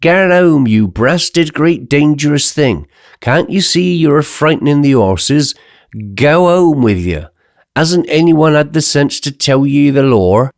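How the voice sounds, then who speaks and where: A man's voice: on the high side, animated, slow pace, no room sound, clean studio sound, voice pushed up. A man voicing a coarse older male rag dealer, bawling and scolding.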